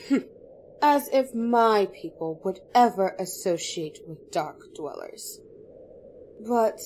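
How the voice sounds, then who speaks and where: A woman's voice: mid-range voice, darkish tone, a little hiss or hum. A woman playing a haughty, scornful elven queen in a fantasy audio drama, with an imperious, disdainful delivery. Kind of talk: fantasy audio roleplay acting.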